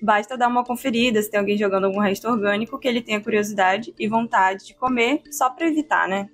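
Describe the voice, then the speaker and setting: A woman co-hosting a podcast, smoothly reading scripted narration. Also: mid-range voice, fast talker, soft background music. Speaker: podcast co-host.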